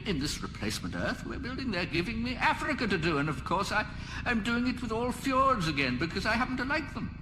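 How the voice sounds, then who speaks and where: Weary old alien, swooping and echoey. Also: male voice, high-pitched. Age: older. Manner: rambling, resigned, mildly peevish. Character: weary, fussy old alien planet designer, male. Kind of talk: radio comedy drama. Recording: echoey hall, clear background noise.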